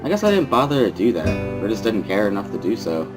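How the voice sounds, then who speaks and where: Low-voiced man narrating over music. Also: wildly swooping pitch, fast, low-quality recording. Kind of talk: first-person dramatic reading of a visual novel. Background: guitar music.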